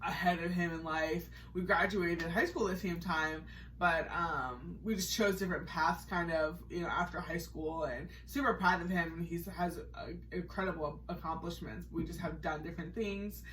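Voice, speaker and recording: A woman's voice: lowish voice, fairly even intonation, normal speed, a bit bright, far from the mic, slight background noise, poor audio. An American woman co-hosting a podcast, telling her personal story in a casual, chatty, conversational way. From Massachusetts, United States.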